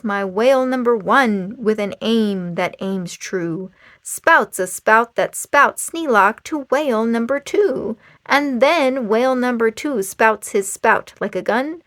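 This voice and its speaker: A woman reading a rhyming children's book aloud in a theatrical, expressive and playful storytime manner. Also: a little high, no room sound, clean studio sound. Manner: dramatic, expressive, playful emphasis.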